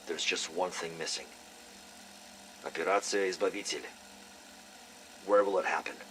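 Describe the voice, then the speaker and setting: Very deep, stern intelligence agent. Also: male voice, normal speed, a bit bright, slight background noise, low-quality recording. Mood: businesslike. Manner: terse, businesslike. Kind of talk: cinematic video game voice acting.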